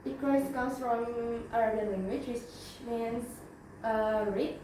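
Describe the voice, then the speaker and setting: A young woman with an Indonesian accent presents in a fairly high voice, pausing often to restart and fill gaps. She sounds distant from the mic in a very echoey room with steady background noise. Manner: halting, with frequent fillers and self-corrections. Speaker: student presenter. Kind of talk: class presentation.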